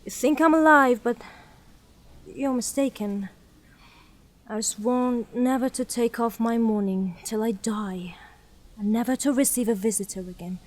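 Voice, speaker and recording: An actress playing a proud, grieving young widow, stubbornly faithful and melodramatic, in a theatrical audio drama. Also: female voice, a little high, unhurried, lightly acted. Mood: indignant, devoted.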